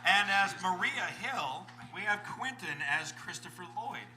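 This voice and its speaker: A man's voice: sits high, animated, a little slow. A man moderating a live convention panel, introducing things informally to an audience. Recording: echoey convention hall, loud music behind.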